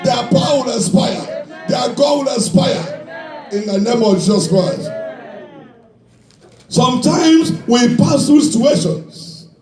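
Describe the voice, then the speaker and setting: High-pitched, declamatory male preacher in echoing hall. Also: expressive, slow, long pauses. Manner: declamatory, repeats phrases emphatically, prompts the congregation for responses. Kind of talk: fervent Pentecostal-style sermon with call and response. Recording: echoey hall PA, distant mic, background music.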